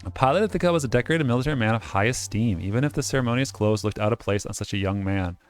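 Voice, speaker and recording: A man's voice: medium pitch, rapid-fire, soft background music. A man doing a casual let's-play, reading game narration aloud and joking about the text as he goes. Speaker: game streamer reading a visual novel aloud.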